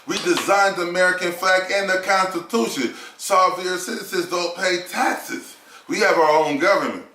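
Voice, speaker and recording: A man argues his points in a heated casual discussion, firing off rhetorical questions and swearing freely. His voice sits a little high with a bright, forceful edge. The room around him is echoey. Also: moderate pace, slight background noise. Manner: emphatic, argumentative, rhetorical questions, profane. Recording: roomy echo.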